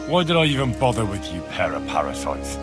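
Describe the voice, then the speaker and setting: A man's voice: lowish voice, sing-song, brisk, music in the background. A young man playing an earnest, stubborn and cheeky smith's son in a video game, plain-spoken and defiant. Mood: exasperated. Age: young adult. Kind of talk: video game cutscene acting.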